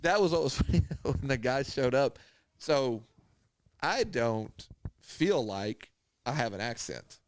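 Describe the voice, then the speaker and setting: A man's voice: medium pitch, slow. A man telling casual anecdotes as a podcast host, animatedly acting out what people said and swearing freely. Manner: animated retelling with acted-out quotes, swearing. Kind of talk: casual chat, anecdotal storytelling.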